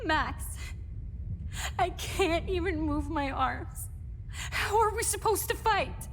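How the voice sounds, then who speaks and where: A woman's voice: very high-pitched, lively intonation, slow pace, heavily acted. An actress playing a terrified, helpless eighteen-year-old student in a video game, crying and faltering as she pleads. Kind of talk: emotional video game voice acting. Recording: echoing reverberant space, background noise.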